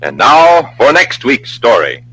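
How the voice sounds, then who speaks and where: A man's voice: mid-range voice, huge pitch swings, slow pace, heavy background noise. A man announcing a show promotion in 1940s radio style, polished, playful and theatrical. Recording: old radio broadcast recording.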